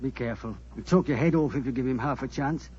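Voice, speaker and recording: A man playing a talkative, goading, resentful doctor with a husky voice, in 1940s radio style. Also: mid-range voice, fast talker. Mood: chatty. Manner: excitable, goading. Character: talkative, needling, resentful male doctor at a bar. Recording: muffled old 1940s radio recording.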